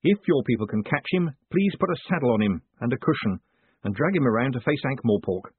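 Guy doing a bossy smooth-talking postmaster in a storybook, clipped bursts, kinda husky, phone-quality audio. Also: mid-range voice, animated, a little fast, no echo. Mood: brusque, impatient. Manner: curt orders in clipped bursts. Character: brash, bossy, smooth-tongued male postmaster in a hurry. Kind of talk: audiobook character dialogue. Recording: muffled, thin phone-like sound.

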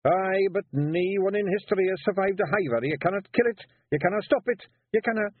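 Guy doing a flustered little scottish fairy chief, stammery, husky and higher than his own voice, tinny phone sound. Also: fairly quick, dry recording. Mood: grim. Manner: flustered broad brogue, stammering. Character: small fairy clan chief man, blustering, sheepish.

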